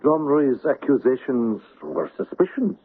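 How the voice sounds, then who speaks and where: A wise old-radio confidant, very raspy and slow. He speaks formally and philosophically, each phrase measured, through a narrow lo-fi recording. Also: mid-range voice, animated. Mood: calm, probing. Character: sage, measured male confidant named Chung Lee. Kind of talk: radio drama acting.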